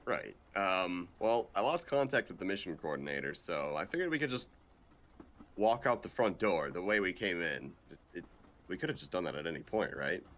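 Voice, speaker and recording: A man playing a cocky, dim-witted action agent in a comedic game fan dub, with deadpan, hesitant, sweary banter. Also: deep voice, moderate pace. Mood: flippant.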